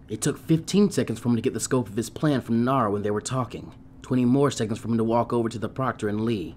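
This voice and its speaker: A man narrating an action story from a script in an expressive audiobook style. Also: medium pitch, a little hiss or hum. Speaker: fan fiction audiobook narrator. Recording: music behind the voice.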